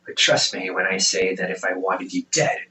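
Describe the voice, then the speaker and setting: A man's voice: low voice, completely flat delivery, normal speed, sharp tone, distant mic, a little hiss or hum. A man playing a smug, ancient demon older brother in an audio roleplay, sarcastic and condescending, with a conversational drawl. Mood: casually menacing.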